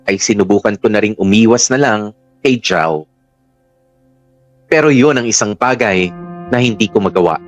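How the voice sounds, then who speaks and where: A man's voice: mid-range voice, natural voice. A man with a Filipino accent performing reflective first-person radio drama narration as a lovestruck middle-aged parent. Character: middle-aged parent in love, confiding, hopeful storyteller.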